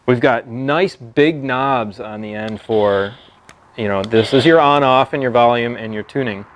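An American man in his thirties giving a casual product review to camera in a halting, conversational way. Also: mid-range voice, slight background noise. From Texas, United States. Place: open metal-roofed stable, seated at a pickup tailgate.

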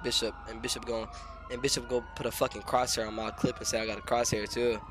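American man, medium pitch, casual gameplay chat, slangy, profane, repeated phrases, noisy siren-filled game audio. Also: little pitch movement, fast talker. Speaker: game streamer.

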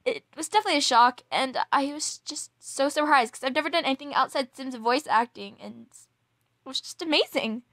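A young woman chatting casually to viewers in a gushing, excitable, giggly manner, as an amateur voice actress promoting a project. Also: high voice, moderate pace, no echo. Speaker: amateur voice actress introducing a role.

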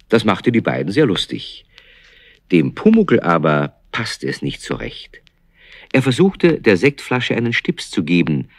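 A man's voice: fairly low pitch, no echo. A man narrating a children's audio play as a storyteller, in scripted storybook style.

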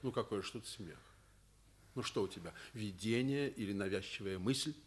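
An actor playing a kindly, firm middle-aged school director in a Soviet radio drama, fatherly and gently ironic. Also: fairly low pitch, normal speed, slightly rough. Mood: sympathetic.

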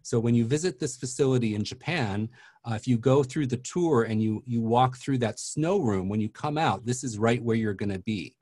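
A man's voice: mid-range voice, moderate pace, a little mellow, no room sound. Host, man in his forties, friendly leading questions over a video call, dry close sound.

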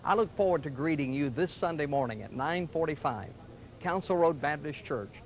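Earnest middle-aged preacher pitching church. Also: male voice, lively intonation, moderate pace, poor audio. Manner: earnest, gentle, inviting delivery. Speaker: pastor. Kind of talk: scripted television ad pitch. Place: studio sets and church rooms, indoors. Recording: 1980s local TV ad audio.

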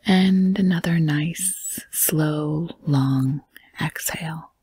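A woman leading a guided meditation in a soft, breathy, whispery voice, speaking calmly and gently in measured phrases with long pauses. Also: low voice. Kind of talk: guided meditation, slow soothing narration.

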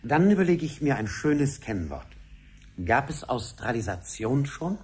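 A man playing a methodical command-center code clerk in deliberate, casual radio-play dialogue. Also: medium pitch, big pitch swings, slight background noise. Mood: musing.

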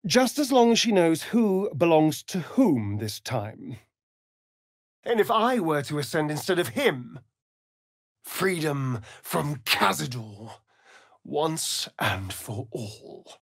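A man's voice: on the high side, sing-song, slow pace, gravelly, dry recording, studio quality, heavily acted. A man with an English accent playing a sardonic, aristocratic vampire rogue in a video game, arch, drawling and haughty. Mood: brooding. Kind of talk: video game character dialogue.

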